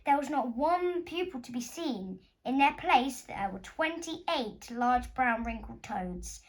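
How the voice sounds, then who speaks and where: A woman's voice: sits high, lively intonation, takes it slowly, poor audio. A woman narrating a children's story expressively, as an author reading her own book.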